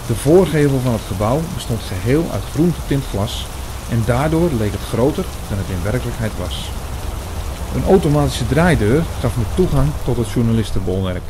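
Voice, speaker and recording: Man, first-person story narration, radio play, middle pitch, measured delivery, light ambient noise. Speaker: narrator and main character.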